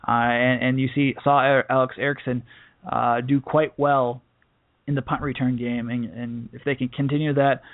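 A man hosting a sports podcast, giving casual solo game preview commentary in a halting way, restarting phrases as he goes. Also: mid-range voice, level tone. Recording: muffled phone-like audio.

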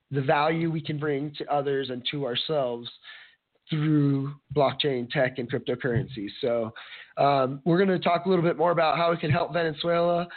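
A man hosting a casual solo podcast, rambling and searching for words, his voice a little raspy from allergies. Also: fairly high pitch. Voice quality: slightly raspy. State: allergy-affected voice. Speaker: podcast host. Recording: narrow phone-like sound.

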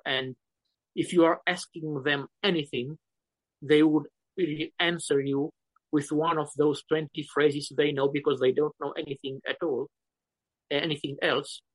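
A man in his fifties with a Romanian accent, answering interview questions slowly and haltingly, often pausing to search for words. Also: a little high, no room sound, low-quality recording. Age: 50s. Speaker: poet guest.

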